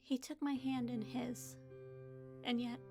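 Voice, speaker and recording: A woman's voice: a little high, level tone, slow, a little mellow, soft background music. A woman playing a shaken but defiant young hospital patient who narrates her own story in an audio drama. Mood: tense, reflective. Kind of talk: audio drama acting with first-person narration.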